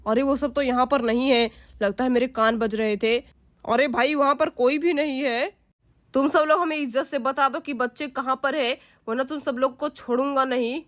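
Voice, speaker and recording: एक महिला एक बंदूकधारी पुरुष डाकू की आवाज़ निकालती है, भारी और धमकी भरे, चिढ़ाने वाले अंदाज़ में।. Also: female voice, high-pitched, fairly even intonation, normal speed, no echo, clean studio sound, natural voice. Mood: menacing. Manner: gruff, threatening, mocking. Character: menacing male dacoit kidnapper with a gun.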